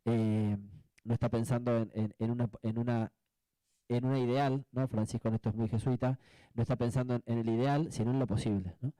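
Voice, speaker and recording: Argentine man, very mellow dark voice. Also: medium pitch, fairly even intonation, no room sound, rough recording. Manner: reflective, halting, often seeking agreement with tag questions. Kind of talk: spontaneous panel discussion answer.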